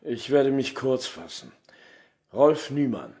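An actor playing an insistent, slightly awkward male police detective with a husky voice in a German crime radio play. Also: mid-range voice, sing-song, slow pace, a little mellow, exaggerated voice. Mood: businesslike.

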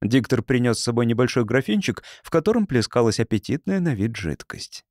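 A man's voice: mid-range voice, big pitch swings, a little fast, darkish tone, no echo, studio quality. A man narrating a science fiction audiobook from a script.

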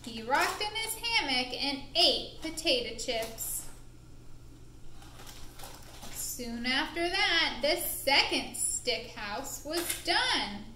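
Woman storyteller, bright voice, unhurried, expressive, children's puppet show, roomy sound with faint noise. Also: high voice. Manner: expressive, deliberate, playful. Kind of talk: animated storytelling for young children. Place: indoor room with a puppet stage.

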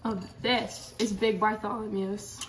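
A woman's voice: medium pitch, little pitch movement, unhurried, reverberant, distant mic, heavy background noise, natural voice. A teenage girl playing the host and judge of a mock baking show in a student comedy sketch. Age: teens.

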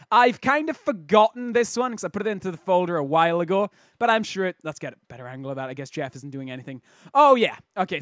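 A man with a slightly croaky voice hosting casual, jokey video game commentary in a self-interrupting way. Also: high voice, fairly bright tone, no room sound, clean studio sound. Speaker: host of a game replay showcase.

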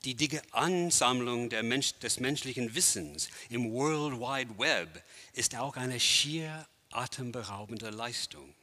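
A man in his sixties, a native English speaker, giving a theatrical lecture performance in a large empty gallery hall, declaiming with dramatic swells and hushed drops. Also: medium pitch, lively intonation, slow.

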